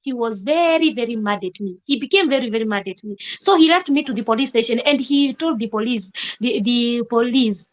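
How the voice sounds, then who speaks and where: Animated Kenyan woman telling her story. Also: fairly high pitch, brisk, few pauses, dull audio. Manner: animated, emphatic retelling with repeated rhetorical questions. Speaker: vlogger. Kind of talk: personal storytelling vlog.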